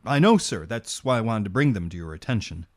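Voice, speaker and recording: An actor playing a dutiful, deferential government agent in an audio drama, reporting in a formal, businesslike way. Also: male voice, fairly low pitch, expressive, a little fast. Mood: dutiful, concerned.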